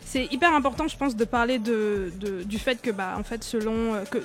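A woman's voice: on the high side, moderate pace. A woman from Cameroon speaking French with Cameroonian traces, talking animatedly in a casual panel discussion and sometimes switching accents on purpose. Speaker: podcast co-host.

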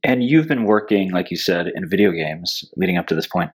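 A man hosts a podcast, asking curious follow-up questions in a low voice. His questions come in brief, direct turns. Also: animated, a little fast, husky. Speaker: podcast host. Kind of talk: interview questions.

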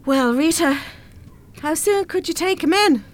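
A woman with an Irish accent playing a scheming, flattering, self-serving grown-up daughter in a radio play. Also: very high-pitched, a little slow. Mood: dismayed, scheming. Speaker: radio drama actor.

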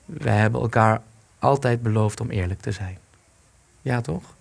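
Bitter, creaky-voiced man in hiding. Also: medium pitch, a little slow. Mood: despairing. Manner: sarcastic, agitated. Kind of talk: Dutch radio play acting.

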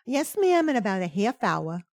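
An actress playing a polite, plain-spoken household maid in a 1940s-style radio sitcom. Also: female voice, medium pitch, very animated, normal speed, darkish tone, no room sound, clean studio sound. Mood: polite, bright. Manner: polite, comic servant delivery.

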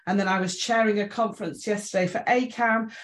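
A woman's voice: mid-range voice, fairly even intonation, fairly quick. A middle-aged woman with an English accent giving an informal presentation in a chatty, self-deprecating, reassuring way. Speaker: invited speaker, inclusion trainer.

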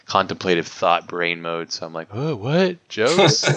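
A man's voice: lowish voice, big pitch swings, takes it slowly, gravelly, fairly bright tone, no room sound. A man in his thirties hosting a podcast interview, rambling with frequent restarts and laughs. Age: 30s. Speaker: podcast host. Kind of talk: casual interview chat.

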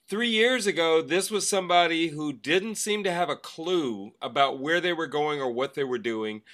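A man's voice: on the high side, sharp tone. A man talks conversationally as an experienced theatre guest. He explains his views emphatically, moving between anecdote and opinion in an unscripted interview style. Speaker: guest, theatre director and actor.

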